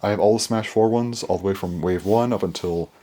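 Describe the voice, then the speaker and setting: A young man with a very low, deep voice gives a loose, chatty update. His voice is worn and hoarse, and he rambles along with many fillers and restarts, recorded by a camera in a small bedroom. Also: fairly quick, mellow. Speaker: toy collector vlogger.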